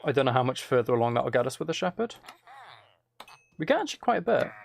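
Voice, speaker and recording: A man's voice: mid-range voice, very animated, fast talker, gravelly. A man live streaming, giving casual gameplay commentary and musing aloud as he chats to viewers.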